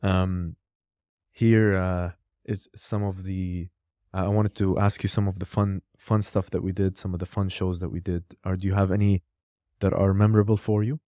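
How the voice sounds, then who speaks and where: Male podcast co-host, very low and warm-toned, casual and loose, fillers everywhere. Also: normal speed, dry recording, clean studio sound. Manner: relaxed, peppered with "like" and "you know".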